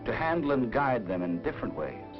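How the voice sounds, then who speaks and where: A man's voice: medium pitch, sing-song, over music. Dramatic American male narrator, widely swooping intonation. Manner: dramatic, measured short phrases. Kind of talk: 1950s educational film narration, scripted. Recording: dull vintage film soundtrack.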